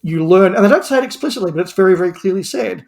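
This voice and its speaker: A man's voice: high voice, animated, rapid-fire, creaky, a little mellow. A man giving a casual review as a podcast guest, making articulate, thoughtful points at length.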